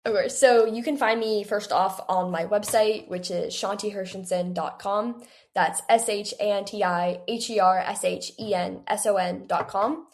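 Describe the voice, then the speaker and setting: A woman's voice: mid-range voice, steady tone, moderate pace. A woman of eighteen answering interview questions as a guest author in a chatty, conversational way, often saying "like". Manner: chatty, heavy use of "like". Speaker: guest, young adult author.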